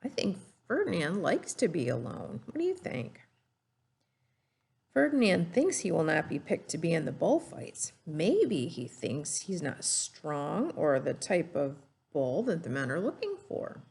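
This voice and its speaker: A woman's voice: sits low, wildly swooping pitch, a little slow, vocal fry, darkish tone. A woman reading a storybook aloud and teaching children, explaining simply and putting questions to her young listeners.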